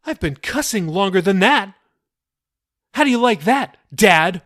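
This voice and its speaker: A man voicing a furious twenty-one-year-old daughter who shouts at her father in a high, strained voice. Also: lively intonation, measured pace. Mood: defiant.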